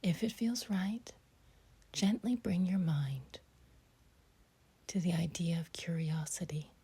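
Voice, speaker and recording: Breathy, near-whispering woman guiding meditation slowly. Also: on the low side, lively intonation. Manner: gentle, unhurried, with long pauses between short phrases. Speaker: meditation guide. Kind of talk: guided meditation, scripted and softly delivered.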